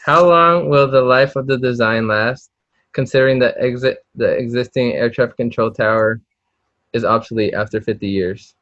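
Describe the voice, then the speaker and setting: Young male student interviewer. Conversational questions, mid-pitched voice, dry dull recording. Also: normal speed, a bit bright, no room sound.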